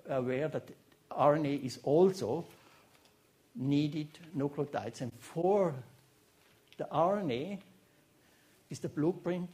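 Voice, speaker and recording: A man with a Swiss German accent giving a seminar lecture as a biochemist, talking haltingly with many restarts and fillers. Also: on the high side, warm tone. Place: lecture room before an audience.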